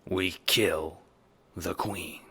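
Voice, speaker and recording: A man voicing a smooth male mob schemer who speaks slowly and deliberately, making every word hang. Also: low voice, steady tone, sharp tone, exaggerated voice. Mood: scheming. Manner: slow, measured, hypnotic.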